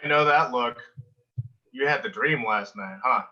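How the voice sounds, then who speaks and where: A man's voice: medium pitch, a little slow, bright, forceful tone. A man playing a teasing, knowing coworker with diva flair in a sitcom, on dull video-call audio. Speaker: actor in a sitcom table read.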